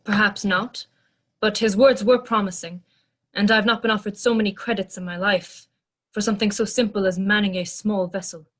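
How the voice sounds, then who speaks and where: A woman's voice: mid-range voice, fairly even intonation, normal speed, close to an everyday voice. A woman playing an earnest, literal-minded non-human crew member in a sci-fi audio drama, speaking formally and precisely.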